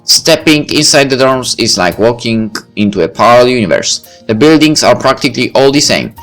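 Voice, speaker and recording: A man's voice: mid-range voice, lively intonation, normal speed, fairly bright tone. A man reading a visual novel aloud as narration, stumbling over the odd word, with music behind him. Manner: stumbles over and rereads words. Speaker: reader playing through a visual novel. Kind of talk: narrated read-aloud of a story game.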